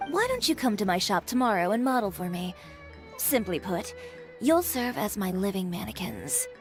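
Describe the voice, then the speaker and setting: An actress voicing a poised, ambitious fashion designer and boutique owner who speaks bluntly and dryly. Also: female voice, medium pitch, sing-song, moderate pace, music in the background, close to an everyday voice. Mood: businesslike. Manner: cool, matter-of-fact, dry. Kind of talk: video game voice acting.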